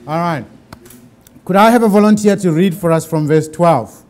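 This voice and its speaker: A middle-aged man with a deep Zimbabwean accent teaching a Bible class in a conversational way, often repeating words as he thinks. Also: normal speed, a little mellow.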